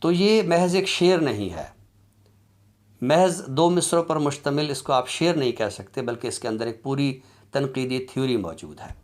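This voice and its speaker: Guy lecturing on urdu lit, slow and careful, lots of pauses, mid pitch. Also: lively intonation, darkish tone. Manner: measured, deliberate delivery with pauses and drawn-out fillers, recites couplets. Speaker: literature lecturer. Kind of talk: formal academic lecture.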